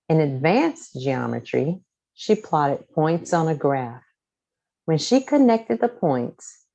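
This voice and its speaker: A woman reading a children's story aloud from a script, with deliberate, expressive phrasing. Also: low-pitched, low-quality recording. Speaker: storybook reader.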